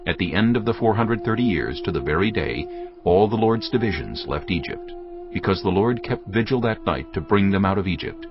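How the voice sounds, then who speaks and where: A man reads scripture narration in a steady, deliberate manner. His voice is very deep. Music plays underneath on a somewhat dull recording. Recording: dull audio over music.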